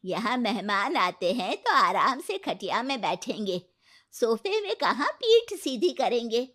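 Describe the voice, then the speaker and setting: A woman's voice: a little high, very animated, a little slow, fairly bright tone, full character voice. A woman voicing a stern, bossy mother-in-law with an emphatic, scolding way of talking for a cartoon moral story.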